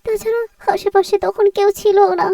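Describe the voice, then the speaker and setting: A woman's voice: very high voice, level tone, a little fast, vocal fry, warm tone, no room sound, far from the mic. A woman voicing a distressed, pious young woman in a cartoon, with a plaintive, pleading delivery. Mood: grateful, recounting. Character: distressed young woman, pious crime victim telling her ordeal. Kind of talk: dramatic cartoon dialogue and storytelling.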